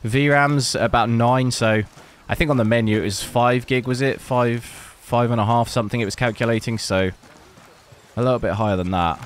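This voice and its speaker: A man with a hoarse, lost voice, hosting a PC gaming channel and commenting casually while he plays, thinking aloud. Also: mid-range voice, moderate pace, slight background noise. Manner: relaxed, rambling, thinking aloud. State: hoarse, losing his voice. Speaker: PC gaming benchmark channel host.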